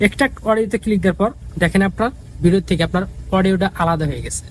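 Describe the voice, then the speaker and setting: A man's voice: high-pitched, rapid-fire. A man giving a casual, repetitive step-by-step app tutorial as the host. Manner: repetitive, instructional.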